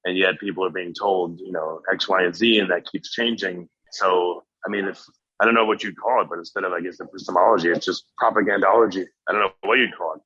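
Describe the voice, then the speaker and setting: A man's voice: low-pitched, steady tone, fast, bright, forceful tone, breathy edge. A man hosting a podcast, chatting casually and musing aloud with his guest. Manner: conversational, loose and musing. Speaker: podcast host. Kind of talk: casual interview chat. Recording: dry, slightly dull sound.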